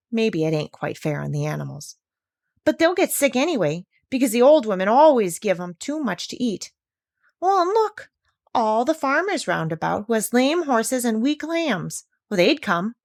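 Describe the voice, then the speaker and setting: Storybook tradesman, acted by a woman: male, folksy, scheming, colloquial salesman patter, very animated, dry recording. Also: mid-range voice, vocal fry, no room sound. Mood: backpedaling, persuasive. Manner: colloquial, uneducated, salesman-like persuasion. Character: folksy, scheming tradesman, the cat's meat man.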